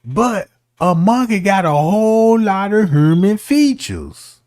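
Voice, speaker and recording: A man's voice: high voice, big pitch swings, slow, a little mellow, no room sound, clean studio sound. A man giving casual commentary as a streamer, talking in a slangy, argumentative way.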